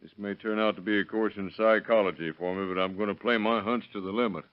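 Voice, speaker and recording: Actor as a low-voiced, blunt hunch-playing cop. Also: male voice, fairly even intonation, fast, natural voice. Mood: determined. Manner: blunt, dry, tough. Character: dogged, no-nonsense plainclothes cop who plays hunches. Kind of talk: 1930s radio crime drama acting. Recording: dull lo-fi vintage radio recording.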